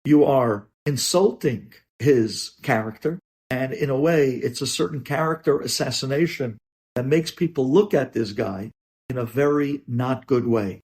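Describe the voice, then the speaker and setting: A man's voice: mid-range voice, unhurried, darkish tone. A man speaking as a rabbi giving a religious lesson, emphatic, with rhetorical questions and repeated phrases.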